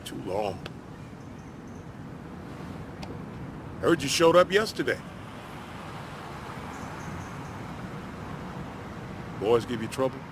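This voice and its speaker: Wry small-town ex-miner, American. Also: male voice, medium pitch, sing-song, a little fast, background noise. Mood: warm, teasing. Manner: blunt, folksy, wry. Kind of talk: naturalistic video game acting.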